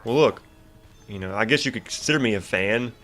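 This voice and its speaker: A man's voice: on the low side, brisk, a bit bright. A congested young man casually ranting about a video game as he comments on his gameplay, rambling with lots of fillers and restarts. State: congested, stuffy nose. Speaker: gaming YouTuber commentating gameplay.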